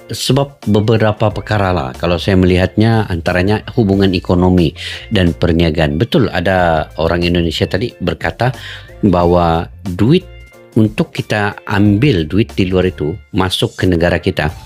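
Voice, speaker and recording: A man gives a voice-over with a very deep, low voice. He explains things calmly and steadily. Loud music plays under him. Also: normal speed. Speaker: narrator of a compilation video. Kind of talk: voice-over commentary.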